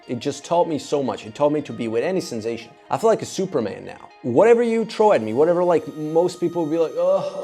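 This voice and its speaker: An enthusiastic man with a Czech accent who talks straight to his viewers and rambles in bursts. His voice is mellow and relaxed. Soft music plays faintly behind him. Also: fairly high pitch. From Czech Republic. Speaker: psychologist and YouTuber. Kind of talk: casual talk to camera.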